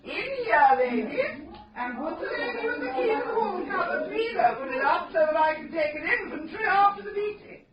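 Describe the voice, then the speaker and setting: An actress playing a prim, mischievous, scheming spinster who runs a charity, in a radio drama. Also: female voice, very high-pitched, expressive, moderate pace, a loud crowd. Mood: bustling. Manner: arch, coy, prim and mischievous. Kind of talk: 1970s radio drama acting. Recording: dull slightly noisy radio drama recording.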